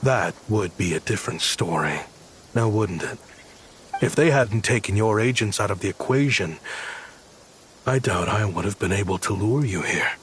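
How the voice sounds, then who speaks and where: An actor playing a cold, vengeful former partner in a game cutscene, speaking slowly with quiet menace over faint music. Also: male voice, on the low side, lively intonation, a little hiss or hum, close to an everyday voice. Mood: cold taunting. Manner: slow, measured, quietly menacing.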